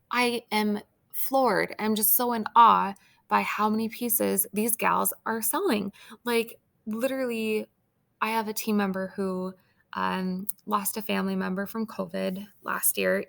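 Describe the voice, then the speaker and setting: Brief: female team leader, chatty and upbeat, strong gravelly fry, slightly scratchy throat. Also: mid-range voice, fairly bright tone. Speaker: team leader of sales consultants. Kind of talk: casual training talk.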